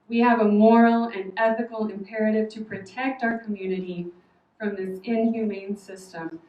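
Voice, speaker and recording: A woman from Oklahoma giving a prepared public comment at a meeting, earnest, measured and firm. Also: mid-range voice, a little mellow, dull audio. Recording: echoey hall, distant mic, faint noise.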